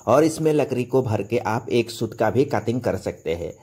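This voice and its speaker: A man's voice: medium pitch, animated, moderate pace, darkish tone, slightly rough. Young man explaining, step by step. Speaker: carpenter demonstrating on own channel. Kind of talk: informal how-to narration.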